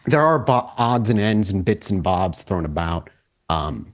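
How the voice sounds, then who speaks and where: A man narrating a tabletop horror game as storyteller, with descriptive, deliberate phrasing. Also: deep voice, expressive.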